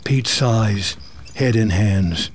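Slow, measured male narrator. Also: lowish voice. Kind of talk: scripted screenplay narration, present tense.

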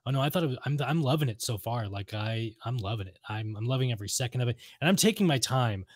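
A man with an average-pitched voice talks casually as a podcast co-host. He rambles enthusiastically and circles back to repeat his points. The sound is dry and close. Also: lively intonation, fast talker, no room sound. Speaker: podcast co-host discussing video games and lore. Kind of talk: casual chat.

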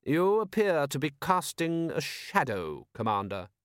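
A man's voice: fairly high pitch, big pitch swings, measured pace, clear, resonant tone, no echo, clean studio sound, voice pushed up. A man voicing a shrewd, coolly commanding city ruler in an audiobook, precise, formal and sardonic. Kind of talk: audiobook character voice.

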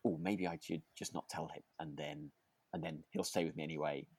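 A man with a low voice talks casually as a podcast co-host. He feels his way through sentences, rambling and restarting as he reviews a drama. The sound is dry, with very little room. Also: level tone, fairly quick, vocal fry, darkish tone, no room sound. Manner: hesitant, rambling, self-correcting.

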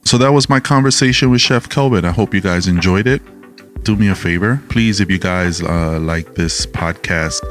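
A male podcast host with a laid-back manner, asking questions and doing the outro with lots of fillers. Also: deep voice. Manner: laid-back, many fillers and short backchannels. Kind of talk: casual interview and podcast outro.

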